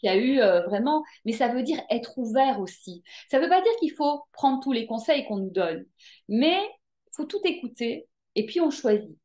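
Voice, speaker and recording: A woman from Belgium, talking as a podcast guest in a lively, emphatic way with frequent hesitations. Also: on the high side, normal speed. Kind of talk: casual interview chat.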